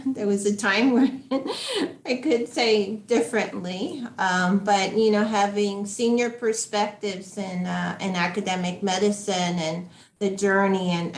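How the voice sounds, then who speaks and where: A woman with a fairly low voice moderates a panel, her speech halting and full of restarts. The room around her rings with echo. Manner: hesitant, many fillers and restarts. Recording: echoey room sound.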